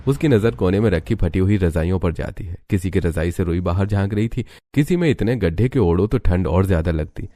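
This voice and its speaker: A man's voice: sits low, fairly quick, few pauses, dark tone. A man reading scripted story narration as the narrator.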